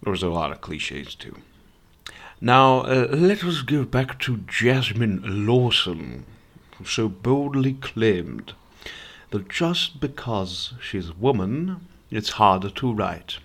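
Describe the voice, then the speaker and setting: A man's voice: fairly low pitch, big pitch swings, slow pace, a bit bright. Sarcastic young man ranting. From Serbia. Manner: sarcastic, profane, blunt. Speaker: podcast host. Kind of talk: ranting monologue.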